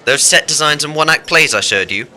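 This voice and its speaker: Wry, animated Englishman. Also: fairly high pitch, brisk. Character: wry English theatre colleague, former prisoner of war. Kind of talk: radio play acting.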